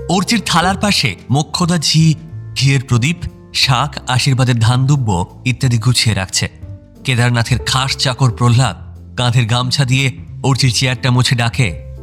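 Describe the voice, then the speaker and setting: A man's voice: mid-range voice, moderate pace, a bit bright. A man narrating an audio drama from a script, describing scenes and actions. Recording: clear music bed behind voice.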